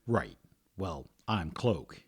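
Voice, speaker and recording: A man's voice: low voice, big pitch swings, drawn-out words, husky, heavily acted. A man voicing a reserved, awkward young male co-leader of a fantasy monster-hunting guild. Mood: hesitant.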